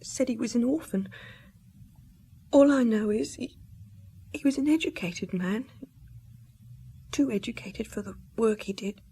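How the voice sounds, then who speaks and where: An actress playing a distraught young widow and mother, fragile and halting, in a radio drama. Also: fairly high pitch, warm tone, husky. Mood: subdued.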